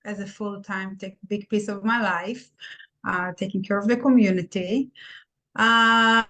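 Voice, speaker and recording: Middle-aged woman, Israeli accent, medium pitch, candid, casual interview guest. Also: fairly bright tone, poor audio. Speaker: podcast guest, nurse practitioner.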